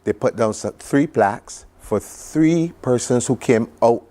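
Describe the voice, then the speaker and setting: A man's voice: mid-range voice, measured pace, warm tone. A Barbadian man speaking conversationally as a television interview guest, recounting things in an enthusiastic, reflective way. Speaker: studio guest recounting a trip.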